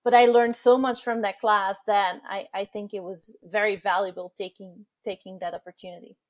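A woman, not a native English speaker, who works as a university researcher, explaining things in a calm, measured way. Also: medium pitch, dry recording. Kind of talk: casual conversation.

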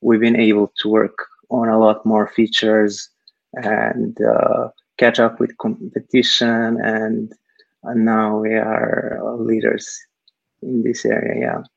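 Guy on a video call, gravelly, real dry, keeps going uh, sounds kinda lo-fi. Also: fairly low pitch, monotone, slow pace, dry recording. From Serbia. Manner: hesitant, many uh fillers. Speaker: guest, startup technical founder. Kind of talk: casual interview answers.

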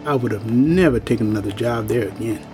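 A man's voice: medium pitch, fast talker, music in the background, natural voice. An actor playing a world-weary old working-class man in an audio drama, speaking in a folksy, plain-spoken, ominous way. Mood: ominous warning.

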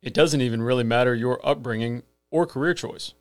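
A man's voice: mid-range voice, fairly quick, dry recording. A millennial man hosting a podcast, delivering an earnest scripted monologue with emphatic rhetorical lists. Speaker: podcast host.

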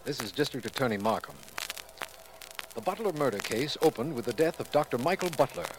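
An actor playing a courteous, businesslike district attorney in formal 1940s radio style. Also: male voice, mid-range voice, animated, a little fast, loud music behind the voice, lightly acted, low-quality recording. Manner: formal, crisp narration and dialogue.

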